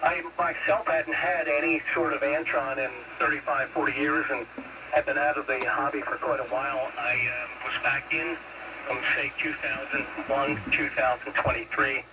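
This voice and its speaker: A man's voice: medium pitch, steady tone, normal speed. An American man in his fifties chatting folksily over a CB radio, with formal radio phrasing. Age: 50s. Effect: CB radio transmission. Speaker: CB radio operator. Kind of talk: casual two-way radio chat. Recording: noisy CB radio signal, distant.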